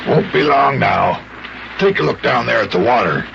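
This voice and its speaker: Jolly old sea captain, gravelly, salty talk, muffled old radio show. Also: male voice, medium pitch, expressive, slow, background noise, exaggerated voice. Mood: jolly, assured. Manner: folksy nautical talk with repeated phrases. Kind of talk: old-time children's radio acting.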